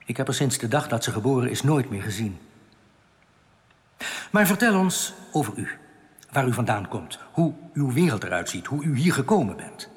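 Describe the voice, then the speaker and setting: An actor playing a male alien outcast leader, reassuring, earnest and quietly bitter, explaining things deliberately in a radio drama. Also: mid-range voice, big pitch swings, natural voice. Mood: solemn, bitter. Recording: long cavernous reverb.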